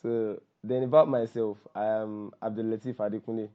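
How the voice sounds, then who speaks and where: A Nigerian man with a voice hoarse from shouting, hosting an informal group call in a joking, self-deprecating, repetitive way. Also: fairly low pitch, level tone, moderate pace. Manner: joking, self-deprecating, repeats himself for emphasis. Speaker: workshop host and copywriter. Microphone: online call microphone. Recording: dull, lo-fi group call audio.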